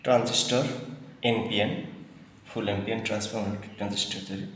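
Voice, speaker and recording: Very reverberant room, faint noise, man, lowish pitch, instructional product demonstrator, repeats points for emphasis. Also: fairly even intonation, fairly quick, fairly bright tone. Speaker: product presenter. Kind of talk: product demonstration, explaining specifications.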